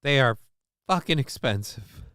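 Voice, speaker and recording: A man's voice: medium pitch, animated, a little slow, bright, forceful tone, no room sound, clean studio sound. A man from Minnesota hosting a casual live show, rambling and swearing while he answers the chat, with lots of restarts and fillers.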